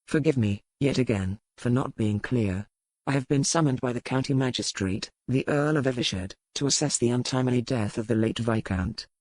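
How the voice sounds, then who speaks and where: Grave, courteous male doctor, deep and dry , voiced by a woman narrator. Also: darkish tone, no echo, low-quality recording. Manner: formal, measured, courteous. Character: grave, earnest male country physician.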